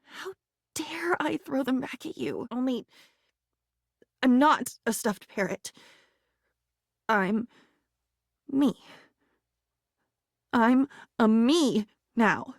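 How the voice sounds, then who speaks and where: A sad lonely diary girl from a video game, highish voice, swings up and down a lot, poetic n needy, dry close sound. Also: dry recording, heavily acted. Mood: indignant, regretful. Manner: wistful, emotional, musing in poetic fragments. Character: melancholy living diary, a lonely, needy, poetic young woman. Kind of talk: dramatic video game voice acting.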